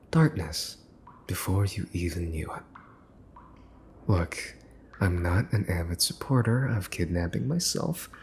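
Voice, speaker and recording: A man playing a smug mafia boss's son in an ASMR roleplay, teasing and condescending as he makes cool, possessive threats with pauses for effect. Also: low-pitched, a little mellow, slight background noise, full character voice. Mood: condescending, menacing. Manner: teasing, smooth-talking, condescending, pausing for effect. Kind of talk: ASMR boyfriend roleplay, scripted monologue to listener.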